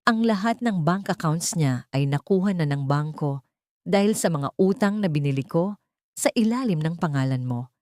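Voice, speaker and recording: A woman's voice: sits low, a little mellow, no room sound, clean studio sound. A woman reading a dramatic scripted story as an audiobook narrator.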